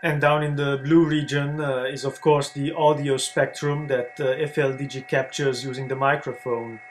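A man talking through an unscripted software screencast tutorial in a hesitant, halting way, as a video blogger would. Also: medium pitch, level tone, soft background music. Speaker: ham radio video blogger demonstrating software. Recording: clear background noise with hum.

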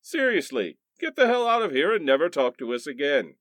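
A man playing a brash, indignant pitchman in a parody radio commercial, scolding and over-excited. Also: a little fast, no echo, studio quality.

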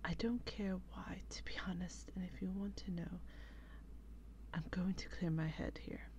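A woman playing an exasperated, protective female vampire in a breathy, whispery ASMR role-play, with slow and dramatic reproach. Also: on the low side, darkish tone, dull audio, lightly acted. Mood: irritated, weary.

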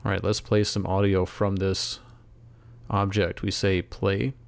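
Low-voiced man narrating a software tutorial. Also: level tone, measured pace, gravelly. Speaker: instructor. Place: close, dry voice-over for screen recording.

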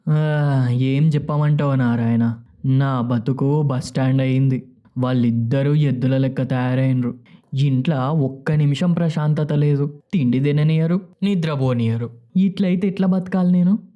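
A man's voice: mid-range voice, steady tone, unhurried, dull audio, natural voice. A man voicing an exasperated older farmer and father in a story, with colloquial Telangana Telugu and a weary, complaining manner.

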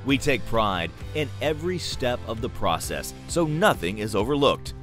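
Animated male promotional announcer. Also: mid-range voice, moderate pace, music in the background. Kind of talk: scripted promotional voice-over.

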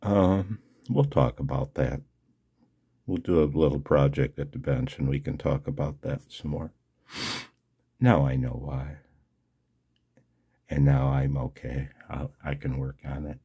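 An older man with a gravelly, husky voice talking casually and haltingly in a personal, emotional, confessional video blog. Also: extremely low pitch, steady tone, mellow. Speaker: amateur radio video blogger. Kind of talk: personal screen-share vlog, casual monologue.

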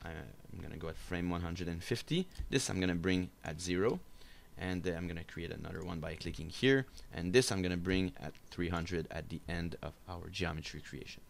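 A man giving an unscripted software screencast tutorial, explaining step by step in a halting, hesitant way. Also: deep voice, creaky, slight background noise. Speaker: tutorial instructor. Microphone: voice-over for a screen recording.

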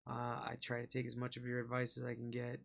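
A man's voice: mid-range voice, monotone, fast, gravelly. A young man hosting a reaction video with casual, rambling, hesitant commentary from a room at home. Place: small indoor room at home.

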